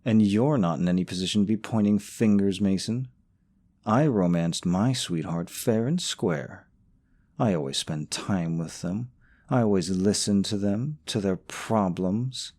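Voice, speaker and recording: A man's voice: on the low side, measured pace, mellow. A man playing a young, smug, possessive boyfriend in an audio roleplay, sarcastic toward his rival and syrupy sweet to the listener. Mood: smug, mocking. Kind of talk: scripted romantic audio roleplay.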